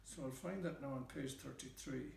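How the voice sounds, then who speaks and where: A man's voice: mid-range voice, normal speed, creaky, echoey room, rough recording. A man with a west of Ireland accent guiding an informal literary tour as a storyteller. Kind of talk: informal guided-tour talk with readings aloud.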